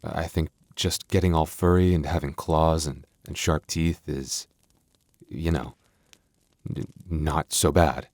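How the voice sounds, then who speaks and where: Young male werewolf boyfriend , acted by a man: deep, soft, hesitant, protective and affectionate; intimate ASMR roleplay, dry recording. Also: steady tone, slow, no room sound. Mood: sheepish, reassuring.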